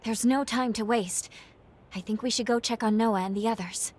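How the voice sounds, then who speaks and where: An actress playing an earnest, determined young companion in a video game, with a husky, breathy voice. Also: female voice, fairly high pitch, moderate pace, close to an everyday voice. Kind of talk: video game cutscene dialogue.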